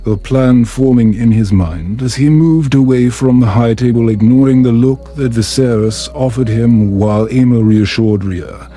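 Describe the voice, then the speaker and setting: A man narrating a scripted bedtime story in a calm, soothing, measured manner. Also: mid-range voice. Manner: slow, soothing, measured delivery. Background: music.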